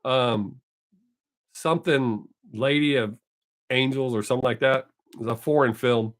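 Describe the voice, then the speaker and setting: A man's voice: medium pitch, a little slow. An older man, chatting casually with viewers on his live stream, rambling and correcting himself as he goes, his voice still not fully recovered.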